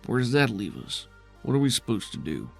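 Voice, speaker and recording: A man's voice: medium pitch, very animated, fairly quick, gravelly, husky, faint music behind, exaggerated voice. A man voicing a weary, defiant male settlement leader in a tabletop game, with folksy, plainspoken, skeptical phrasing. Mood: grimly defiant.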